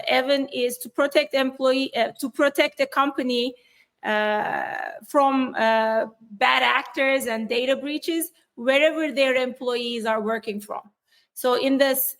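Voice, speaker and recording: A woman from Turkey with a Turkish accent, answering interview questions on cybersecurity in an explanatory way, with frequent fillers and restarts. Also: sits high. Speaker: cybersecurity executive guest.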